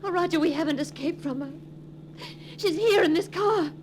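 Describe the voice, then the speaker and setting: A woman's voice: very high-pitched, normal speed, a little mellow, slight background noise. An actress playing an anxious young woman in a 1940s radio melodrama, on an old recording. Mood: terrified, pleading.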